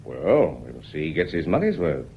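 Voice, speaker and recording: An actor playing a shrewd, folksy middle-aged British police inspector in a radio drama, politely probing with measured questions. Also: male voice, extremely low pitch, wildly swooping pitch, highs cut off, slight background noise, heavily acted. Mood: genial, probing. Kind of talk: period radio drama acting.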